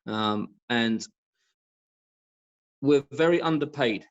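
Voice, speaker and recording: A man speaking as an expert guest in an informal discussion on a video call, halting and repeating words for emphasis. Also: mid-range voice. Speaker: guest expert, professional badminton racket stringer. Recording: dull lo-fi video call audio.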